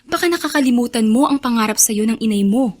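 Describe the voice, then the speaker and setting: Radio drama, loyal young woman: fairly high, earnest, Filipino accent, concerned. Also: animated, fast talker. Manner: concerned, urging.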